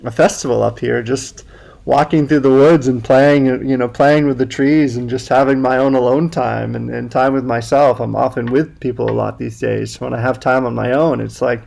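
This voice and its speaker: A man's voice: mid-range voice, steady tone, normal speed, creaky, darkish tone. A man speaking as a podcast guest and men's coach, musing thoughtfully in casual conversation with spiritual wording.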